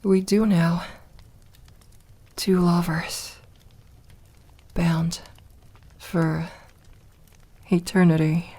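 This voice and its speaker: A woman's voice: on the low side, flat delivery, mellow, full character voice. A woman playing a lonely, lovestruck vampire girl in an intimate ASMR audio roleplay, tender and hesitant. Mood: tender, devoted. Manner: hesitant, halting, intimate, sometimes dropping to a whisper.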